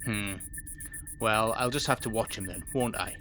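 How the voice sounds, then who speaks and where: An actor plays a stern station principal in a science fiction audio drama. The voice sits at a medium pitch and is formal and clipped, full of suspicion and used to command. There is faint noise behind it. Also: normal speed, close to an everyday voice. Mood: guarded, businesslike.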